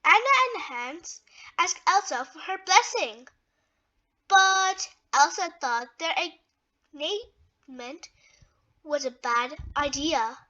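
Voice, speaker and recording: A woman reading a children's story aloud in a deliberate, expressive way with emphatic phrasing. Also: high-pitched, lo-fi sound.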